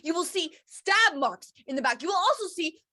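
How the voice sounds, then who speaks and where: A woman's voice: very high-pitched, big pitch swings, normal speed, bright, forceful tone, heavily acted. An actress playing an indignant, foul-mouthed female cougar accused of wrongdoing in a staged reading. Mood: angry, indignant. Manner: indignant, sweary, blunt.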